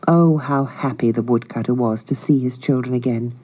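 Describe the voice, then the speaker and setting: Woman reading a kids story, low mellow voice, measured and expressive. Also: rough recording. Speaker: audiobook narrator. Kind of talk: scripted children's storybook narration.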